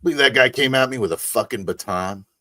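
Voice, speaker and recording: A man voicing a gruff, streetwise, resentful male vampire boss with a husky voice in a tabletop game. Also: mid-range voice, a little fast, pushed and bright, close to an everyday voice. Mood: brusque.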